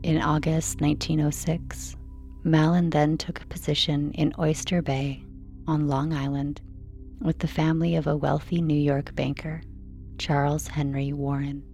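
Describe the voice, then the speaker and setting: A woman reading a scripted sleep story in a slow, soothing narration style. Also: sits low, little pitch movement, vocal fry, mellow, soft background music.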